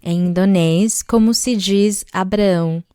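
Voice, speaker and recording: A woman's voice: medium pitch, dark tone, clear, resonant tone, no room sound, clean studio sound. A woman church leader giving a patient, step-by-step sermon-style answer to a question. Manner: slow, patient, explaining step by step. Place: large arena.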